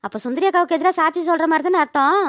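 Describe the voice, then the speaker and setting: Woman putting on a very shrill scolding village woman. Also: very fast, fairly bright tone, no echo, clean studio sound. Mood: indignant scolding. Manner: scolding, mocking. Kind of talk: Tamil village comedy cartoon dub.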